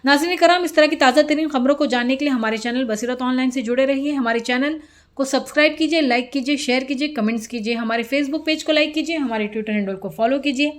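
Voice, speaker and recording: Woman news presenter, kinda high voice, formal scripted read, trips on words now and then. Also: fast talker.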